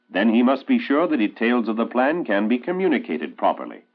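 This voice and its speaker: An American man narrating a 1960s instructional film in an authoritative, emphatic, measured oratorical way. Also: mid-range voice. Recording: tinny band-limited 1960s film soundtrack, loud music. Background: loud musical score.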